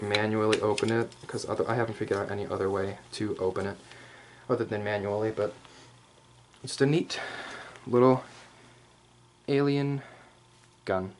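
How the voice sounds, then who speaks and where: Casual man rambling over background noise. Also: lowish voice. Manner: rambling, swearing, jokey asides. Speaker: ASMR roleplay host showing props. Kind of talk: improvised ASMR roleplay, casual show-and-tell.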